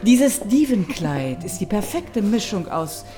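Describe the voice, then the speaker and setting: An actress playing an affected fashion-salon madame in a radio drama, announcing showily with French phrases. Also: female voice, medium pitch, very animated, a little slow, over music. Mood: grand, showy. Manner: showy, French-sprinkled announcing.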